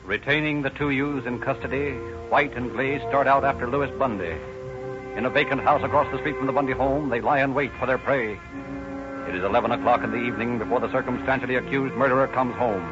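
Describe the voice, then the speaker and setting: An actor as a grave narrator of a police story, formal and dramatic in 1930s radio style. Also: male voice, medium pitch, close to an everyday voice. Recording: muffled vintage radio recording. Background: music.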